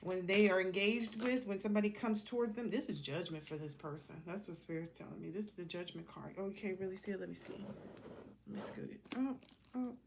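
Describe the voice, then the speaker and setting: A woman with a medium-pitched voice hosts an off-the-cuff tarot reading. She speaks colloquially, thinking aloud and addressing the cards as much as her listeners. The recording carries noticeable background noise and small clicks. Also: lively intonation, brisk, vocal fry. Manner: colloquial, talks to herself and the cards. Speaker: tarot reader hosting a collective reading. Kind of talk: casual improvised tarot reading.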